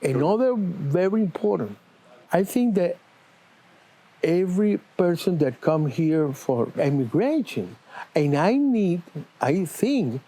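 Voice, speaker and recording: A man with a Spanish accent, a shop owner from Cuba and Venezuela, answering interview questions in earnest, halting English with non-native grammar, recorded in an auto repair shop. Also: high voice, mellow, breathy edge, poor audio. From born in Cuba, lived in Venezuela.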